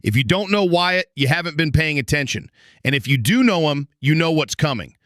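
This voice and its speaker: A man's voice: a little high, lively intonation, fairly quick, a bit bright, dry recording, clean studio sound. A man playing a brash, showy conspiracy radio host in an audio drama, with an emphatic, folksy announcer delivery. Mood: hyped showman. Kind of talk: bombastic talk radio hosting in an audio drama.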